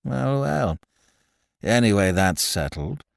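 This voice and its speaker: A man voicing a languid, smug young man laid up in bed, who speaks in a quiet, slow, patronizing drawl. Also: lowish voice, very animated, gravelly, dry recording, clean studio sound, heavily acted.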